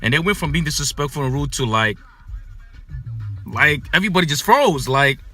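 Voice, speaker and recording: A man, born in Ghana and raised in the United States, telling a personal story in a casual, animated way full of "man" and "you know.". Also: on the high side, moderate pace, faint music behind.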